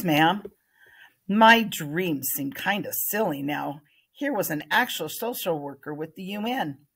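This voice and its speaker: Husky older American woman reading aloud. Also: lowish voice, fairly bright tone. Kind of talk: expressive children's read-aloud. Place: indoor room, at a computer. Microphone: webcam screen recording.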